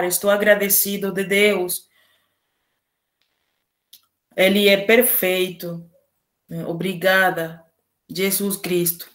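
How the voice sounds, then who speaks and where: Woman, Venezuelan Spanish accent, native Spanish speaker; unhurried, halting, phrase-by-phrase interpreter's delivery. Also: medium pitch. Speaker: sign language interpreter and teacher. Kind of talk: voicing a signer's testimony, then commenting on a video.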